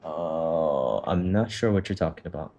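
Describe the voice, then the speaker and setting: A man's voice: rumbling low, expressive, moderate pace, warm tone. A man coaching a student through a trading setup on a call, talking loosely with lots of fillers and the odd swear. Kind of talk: casual walkthrough on a call.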